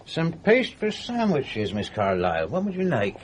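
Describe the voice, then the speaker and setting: Male shopkeeper, obliging, high-pitched, lively intonation, radio drama, noisy recording. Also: moderate pace. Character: obliging grocer reciting his wares. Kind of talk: radio mystery drama acting.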